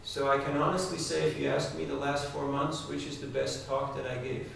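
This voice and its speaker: A man with a mid-pitched, gravelly voice delivers a devotional talk, turning often to his listeners with direct questions. He is recorded from a distance in a large, very reverberant hall. A faint hiss sits under the recording. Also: little pitch movement, brisk, fairly bright tone. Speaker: spiritual speaker. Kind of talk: devotional talk to a live audience. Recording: echoey hall, distant mic, faint noise.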